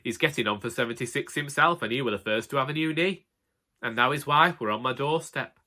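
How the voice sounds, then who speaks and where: A man's voice: mid-range voice, fairly quick, sharp tone. A man with a Northern English accent narrating a comic monologue as a put-upon, exasperated husband in colloquial language. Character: put-upon, indignant older husband, chatty storyteller. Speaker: audiobook narrator. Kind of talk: comic first-person monologue in character.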